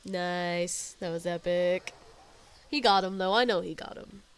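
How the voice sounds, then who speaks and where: A woman's voice: lowish voice, a little slow, clean tone. A woman streamer giving casual, colloquial reaction commentary on a video game in short interjections. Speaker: gaming streamer.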